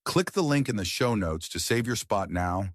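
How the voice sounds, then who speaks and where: A man reading a scripted ad in a smooth, salesy way. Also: on the low side, lively intonation, moderate pace, no echo, studio quality. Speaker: ad narrator. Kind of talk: scripted promo read.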